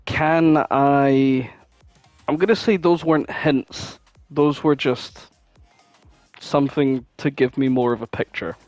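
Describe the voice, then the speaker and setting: A man's voice: mid-range voice, slow pace, a little mellow, no room sound, soft background music. A man chatting casually as a podcast co-host, informal and prone to swearing. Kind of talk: casual chat, guessing game.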